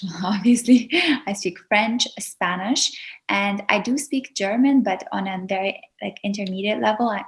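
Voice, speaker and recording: Earnest woman w/ azerbaijani accent, kinda gravelly, flat delivery, talking on a video call. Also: medium pitch. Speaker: guest YouTuber. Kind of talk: podcast interview.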